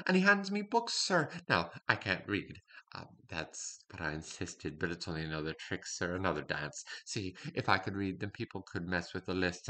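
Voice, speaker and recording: A man's voice: mid-range voice, pushed and bright. A man voicing a sly male servant turned royal spymaster, rambling and confiding with a put-on folksy accent, calling his listener sir again and again. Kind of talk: storytelling monologue.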